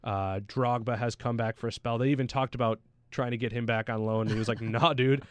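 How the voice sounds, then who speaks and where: A man's voice: medium pitch, steady tone, a little fast, dry recording. Chatty man talking soccer, dry close sound. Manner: chatty, anecdotal, slangy. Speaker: soccer pundit on a talk show. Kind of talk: casual sports discussion.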